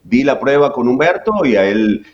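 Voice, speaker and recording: A man's voice: medium pitch, very animated, moderate pace, lo-fi sound, slight background noise. A man in his forties with an Argentine accent, telling stories as a guest in an interview. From Jujuy, Argentina. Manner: chatty, many fillers. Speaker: voice actor guest. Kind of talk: relaxed interview storytelling.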